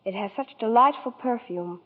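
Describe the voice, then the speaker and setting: Sweet-voiced young starlet on old radio. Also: female voice, on the high side, moderate pace. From New York. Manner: sweet, polite scripted chat. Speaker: guest starlet. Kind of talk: scripted 1940s radio interview. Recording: tinny 1940s radio broadcast.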